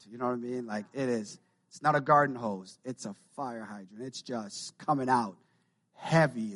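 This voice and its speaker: A man with a Jamaican Canadian accent preaching a sermon to his congregation, often repeating and building his phrases. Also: medium pitch. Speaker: pastor.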